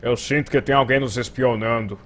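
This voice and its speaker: A man's voice: mid-range voice, fairly even intonation, a little fast, fairly bright tone, a little hiss or hum, close to an everyday voice. A man with a Brazilian accent voicing a weary, suspicious, easily exasperated small-town policeman in a cartoon. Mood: wary. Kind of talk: cartoon dub.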